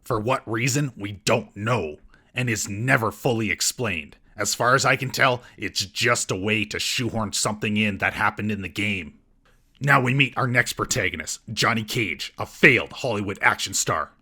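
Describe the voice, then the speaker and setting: Casting: male comedy reviewer, mocking delivery, lowish and raspy, reading a scripted recap. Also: normal speed, pushed and bright. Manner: sarcastic, mocking. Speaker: comedic film reviewer and host.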